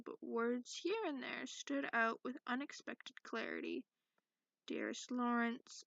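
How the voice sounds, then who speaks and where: A woman playing a sarcastic, cranky dragon in a soft-spoken ASMR bedtime reading, recorded close up in lo-fi. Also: on the high side, dry recording. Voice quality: creaky. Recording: dull lo-fi close dry recording.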